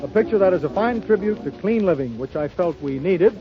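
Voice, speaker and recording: A man's voice: high-pitched, animated, fairly quick. A man hosting and introducing guests in the style of 1930s variety radio. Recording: muffled 1930s radio recording with music.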